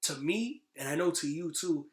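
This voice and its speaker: Higher-voiced slangy man in an echoey room. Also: expressive, normal speed. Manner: slangy, emphatic, rambling. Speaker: podcast guest. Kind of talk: casual chat.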